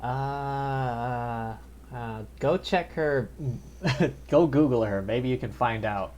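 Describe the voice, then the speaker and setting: A man's voice: medium pitch, drawn-out words, darkish tone, muffled recording, a little hiss or hum. Wry male game streamer, casual. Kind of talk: casual live game commentary.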